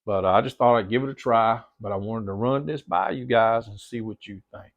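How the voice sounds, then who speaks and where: A middle-aged man with a lowish voice and a slightly stuffy nose talks loosely to his camera, circling back and repeating himself as he addresses his viewers as "guys". The sound is dull and lo-fi, as if from a modest camera mic in a home theater room. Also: fairly quick. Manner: rambling, repeats words, keeps addressing "guys". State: a little stuffy-nosed. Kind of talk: casual chat to camera.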